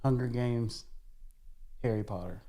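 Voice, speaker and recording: A man's voice: medium pitch, unhurried, mellow. A young man co-hosting a podcast in casual chat, laid-back and rambling, restarting sentences and swearing casually. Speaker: podcast co-host.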